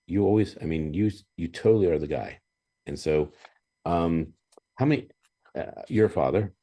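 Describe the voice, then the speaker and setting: A man hosting a podcast, chatting casually and telling animated stories with chuckles and frequent throat-clearing. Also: deep voice, normal speed. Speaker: podcast host. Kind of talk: casual interview chat.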